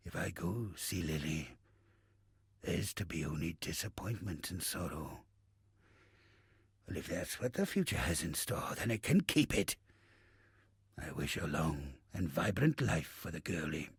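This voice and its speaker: Casting: bawdy, roguish old pirate captain in a game; heavy rasp with gravel, stage pirate accent, salty swagger, close dry sound. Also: male voice, deep voice, no room sound, full character voice. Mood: brooding, wistful. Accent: stage pirate English. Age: older. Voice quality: raspy, gravelly. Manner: salty, theatrical, swaggering delivery with archaic slang. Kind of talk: video game character acting.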